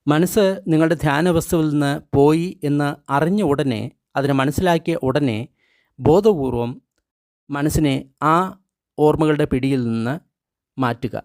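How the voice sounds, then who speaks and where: A man reading guided meditation instructions in short, measured phrases with pauses between them. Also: on the high side, darkish tone, no room sound, studio quality. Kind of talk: guided meditation, scripted instruction read aloud.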